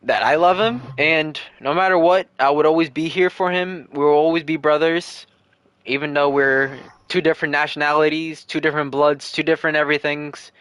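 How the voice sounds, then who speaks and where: A man's voice: fairly high pitch, fairly even intonation, a little fast, a bit bright, clean tone, dry recording. A man in his early twenties answering questions on a livestream, earnest and rambling, repeating himself as he thinks aloud. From United States. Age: 20s. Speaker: streamer. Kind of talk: casual livestream Q&A.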